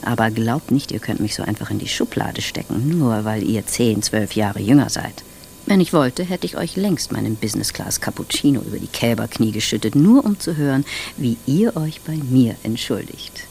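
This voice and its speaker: A vain, condescending traveler in a radio drama. The mid-pitched man's voice is creaky and barbed, with faint ambient noise underneath. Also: animated, moderate pace, a little mellow. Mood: spiteful, haughty. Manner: haughty, barbed. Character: vain, touchy, condescending business-class passenger past youth. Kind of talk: dramatic monologue, radio play.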